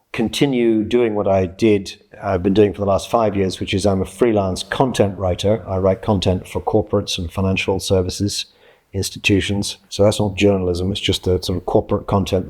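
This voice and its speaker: A man of about sixty from Scotland, giving reflective interview answers in a measured, explanatory way, often correcting himself. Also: deep voice, a little mellow. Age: late 50s to early 60s. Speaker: interviewee, journalist and freelance writer.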